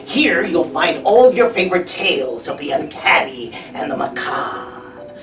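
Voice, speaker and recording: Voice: medium pitch, very animated, unhurried, fairly bright tone. A performer playing a theatrical horror host who formally introduces eerie classic tales. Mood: eerie, inviting. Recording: roomy, distant sound over music.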